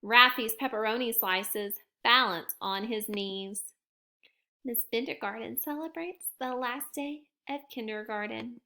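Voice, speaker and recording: A woman teacher reading a picture book aloud to her young students in a gentle, expressive storytime manner. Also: on the high side, measured pace. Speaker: kindergarten teacher.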